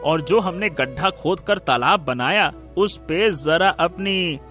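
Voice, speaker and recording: A man's voice: a little high, slow pace, sharp tone, soft background music. A man voicing an upbeat, reassuring poor village farmer in a cartoon story, exclaiming and drawing out his words. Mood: consoling. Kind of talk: Hindi cartoon story voice acting.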